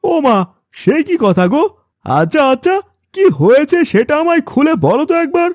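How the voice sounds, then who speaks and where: A chatty, scheming village neighbour from a cartoon, pitched very high. The delivery is singsong, inquisitive and animated, recorded dry. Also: male voice, normal speed, no room sound. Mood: surprised, scheming. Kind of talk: cartoon fairy-tale voice acting.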